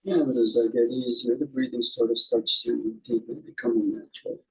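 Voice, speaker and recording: Male meditation teacher, mid-pitched, guiding off the cuff in halting, reflective fragments with pauses between phrases. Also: expressive, vocal fry, poor audio. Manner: halting, musing, speaks in short fragments with pauses. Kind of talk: unscripted guided meditation.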